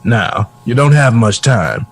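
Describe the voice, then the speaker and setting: A man's voice: medium pitch, expressive, slow, slight background noise. A man acting in an audio drama as a wry old former musician who is a kindly mentor. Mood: wry, encouraging. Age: older.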